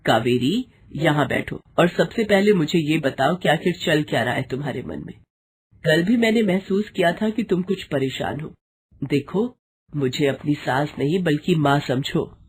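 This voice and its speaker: A woman voicing a caring, protective older mother-in-law, soothing and gently commanding, in a moral story. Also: low voice, expressive, moderate pace, slight background noise. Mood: firm, concerned.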